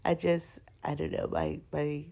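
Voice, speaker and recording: Slow, gravelly, congested young woman. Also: low voice, steady tone, dark tone. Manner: chatty, rambling, with many fillers. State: stuffy and congested. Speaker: planner vlogger. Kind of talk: casual voice-over chat.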